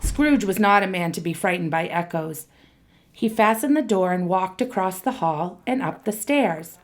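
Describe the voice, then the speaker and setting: A woman narrating a radio play in a steady storytelling voice. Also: on the low side, normal speed.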